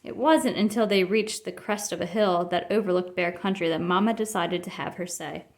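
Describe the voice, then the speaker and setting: A woman reading a children's picture book aloud as an expressive storyteller. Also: fairly low pitch, moderate pace. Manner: expressive, acts out dialogue.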